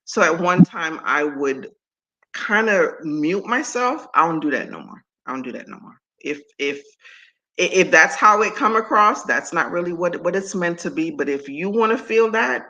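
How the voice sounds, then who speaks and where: A middle-aged woman from Antigua with a deep voice, talking as a guest in an interview in an animated, emphatic way. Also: moderate pace, poor audio. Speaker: guest speaker and coach. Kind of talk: conversational interview.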